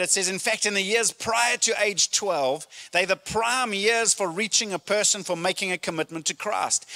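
A middle-aged man, a pastor preaching a sermon with emphatic, deliberate phrasing and pauses, speaking into a handheld microphone. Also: high voice, sharp tone. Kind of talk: sermon to a congregation. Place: church auditorium stage, indoors.

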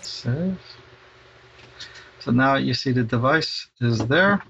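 A man's voice: medium pitch, expressive, slow pace, vocal fry, a bit bright, muffled recording, a little hiss or hum. A middle-aged man giving a casual, informal step-by-step tech tutorial, sometimes hesitating and repeating himself. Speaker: tech installer presenting a how-to tutorial.

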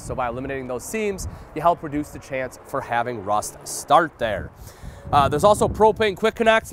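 A man's voice: on the high side, slight background noise. An American man in his thirties giving an explanatory product walkthrough as a presenter, addressing viewers directly in a folksy way. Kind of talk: sales walkthrough review, explanatory. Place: outdoor paved RV lot between parked trailers.

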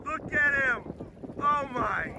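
A middle-aged man excitedly narrating a fishing catch on a rocky shore, recorded on a handheld phone. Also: extremely high pitch, slow pace. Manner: excited, exclamatory, urging. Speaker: father filming a fishing trip. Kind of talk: excited vlog commentary. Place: rocky shoreline outdoors by the sea, windy. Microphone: handheld phone camera. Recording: heavy wind noise outdoors.

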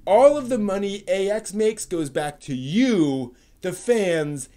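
A man plays an exasperated older puppet lecturing the youngsters in a comic dramatic reading. The voice sits high and sounds self-righteous. Key words are stretched out for emphasis. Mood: defensive.

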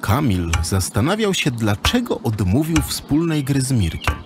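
A man's voice: mid-range voice, sing-song, unhurried, music in the background. A man narrating a children's audio drama in a scripted storybook style.

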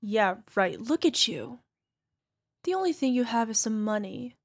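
Slow-talking, scornful toxic girlfriend played by a woman. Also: fairly high pitch, very animated, exaggerated voice. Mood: smug, mocking. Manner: exasperated sighs, scornful, halting defensive delivery. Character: toxic, gaslighting young cheating girlfriend, dismissive and shameless. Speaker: voice actor. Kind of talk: ASMR girlfriend roleplay, realistic audio drama.

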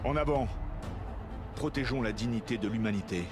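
A man's voice: mid-range voice, measured pace, over loud music, lightly acted. A man playing a dignified, suave older military leader in a video game, speaking with authority. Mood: suave resolve. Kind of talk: dramatic French video game acting.

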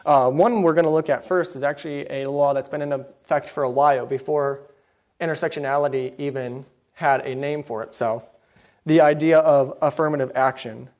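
A young man preaching a sermon from behind a pulpit into a pulpit microphone, earnest and mildly indignant, with quick asides. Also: fairly high pitch, steady tone, a little mellow. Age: young adult. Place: church sanctuary, behind a wooden pulpit.

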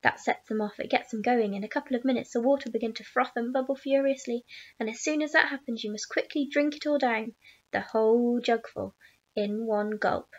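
A woman voicing a small, mysterious old man who speaks slowly and creakily, often in a coaxing whisper. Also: a little high. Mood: urgent instructions. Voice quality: slow and creaky. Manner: whispering, conspiratorial. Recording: dull lo-fi recording.

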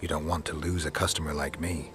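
An actor playing a stoic, blunt monster hunter in a video game, terse and deadpan. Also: male voice, a bass voice, fast talker, a little hiss or hum. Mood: dry, probing. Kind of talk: dramatic video game acting.

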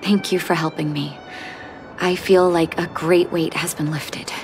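An actress playing a gentle, sorrowful woman doctor in a video game, soft and breathy at times, measured and reflective, with music behind her. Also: low voice, steady tone. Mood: wistful, resolved. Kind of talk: scripted game dialogue.

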